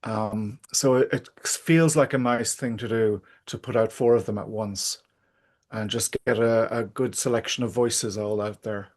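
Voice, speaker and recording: A man's voice: on the low side, steady tone, measured pace, darkish tone. Hesitant middle-aged man in interview. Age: middle-aged or older. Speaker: interview guest, writer. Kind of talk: casual reflective interview talk.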